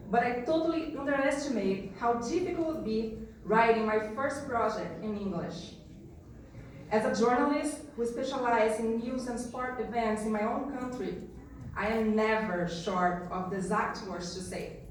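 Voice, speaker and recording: A woman with a Brazilian accent, her first language Portuguese, delivers an earnest graduation address at a slightly raised pitch. She is heard from far back in a large hall, with her voice washing around in heavy reverb. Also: a little hiss or hum. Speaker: graduation student speaker. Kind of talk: prepared commencement speech. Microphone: PA heard from back of room.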